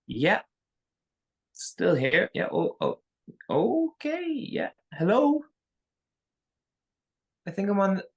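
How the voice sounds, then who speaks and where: An actor playing a flustered, deferential regional director who stammers, in a live screenplay table read. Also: male voice, high voice, huge pitch swings, vocal fry, husky, heavily acted. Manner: halting, stammering.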